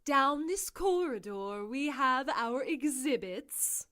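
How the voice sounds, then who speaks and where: A woman's voice: high-pitched, expressive, slow, sharp tone, full character voice. A woman playing a genteel, theatrical guide of a sinister prison circus in an audio drama, with a grandly formal delivery. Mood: proudly showing off.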